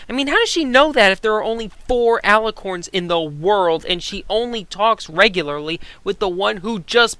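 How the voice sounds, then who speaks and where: A man with a fairly high, forceful voice delivers conversational review commentary. He is animated and emphatic, and his voice is crisp, recorded dry and close. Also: dry recording. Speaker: co-host reviewer.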